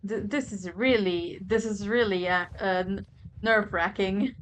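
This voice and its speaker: A woman's voice: medium pitch, unhurried, slight background noise, poor audio. A woman giving casual, informal, exclamatory commentary while she plays a video game. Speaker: gaming video maker. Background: game music.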